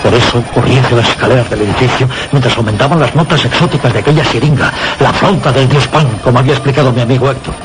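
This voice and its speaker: An actor with a Castilian Spanish accent playing a shaken man who recounts a supernatural horror in halting, dramatic radio narration. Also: medium pitch, lightly acted. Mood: dread. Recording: very noisy radio drama soundtrack.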